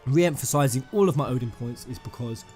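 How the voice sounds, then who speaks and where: A man hosting a fan theory video, rambling and emphatic, addressing his viewers directly in an excited commentary style. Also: mid-range voice, brisk. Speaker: gaming YouTuber, host. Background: music under the voice.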